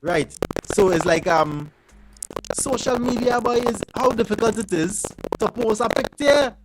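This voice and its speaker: A Trinidadian man talking in a relaxed, conversational way, his voice a bit high for a man. Clear noise runs under his voice throughout. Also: measured pace. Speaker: co-host. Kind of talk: casual radio chat.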